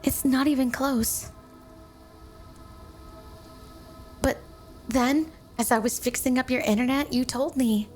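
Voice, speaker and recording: A woman's voice: high voice, steady tone, normal speed, a little mellow, soft background music, close to an everyday voice. A woman playing a flirty, devoted, possessive snow woman wife in an intimate, sweet ASMR roleplay. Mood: proud, teasing.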